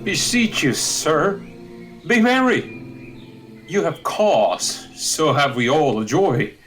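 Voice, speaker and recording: Man as a kindly old Shakespearean courtier, slow, lilting delivery, optimistic, long-winded. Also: on the high side, big pitch swings, a bit bright, faint music behind, heavily acted. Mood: earnest cheer. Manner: wordy, earnest. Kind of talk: Shakespearean audio drama acting.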